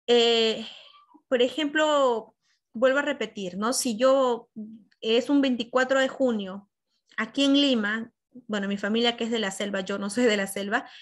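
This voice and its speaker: A woman's voice: fairly high pitch, steady tone, unhurried. A Peruvian woman teaching an online class, explaining with examples and calling on students by name over a video call. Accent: Peruvian Spanish. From Peru. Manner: asks questions and praises students by name.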